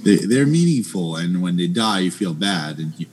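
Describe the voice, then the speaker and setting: A man with a very low voice chats in a relaxed, slightly hesitant way on a gaming podcast. Faint background noise runs underneath. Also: moderate pace. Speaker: podcast co-host. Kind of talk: casual chat.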